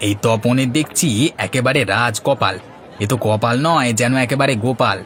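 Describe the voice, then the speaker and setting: Actor as envious fish seller, crowd behind. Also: male voice, mid-range voice, moderate pace, a bit bright, slight background noise, lightly acted. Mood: envious, grumbling. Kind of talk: Bengali moral-story cartoon dubbing.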